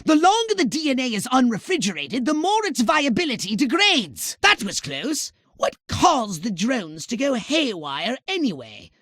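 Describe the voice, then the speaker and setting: An actor voicing a fussy, haughty, scheming alien scientist commander for a video game, with a pedantic, sardonic, theatrical delivery. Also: male voice, very high-pitched, wildly swooping pitch, normal speed, hardly any pauses, a bit bright, dry recording, clean studio sound, heavily acted. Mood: irritated, suspicious.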